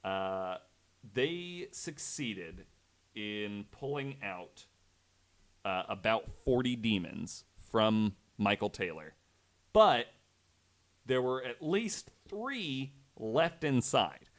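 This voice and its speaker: A man's voice: fairly low pitch, expressive, very slow. A man hosting a podcast, telling a true story in a conversational way with wry, incredulous asides. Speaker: podcast host.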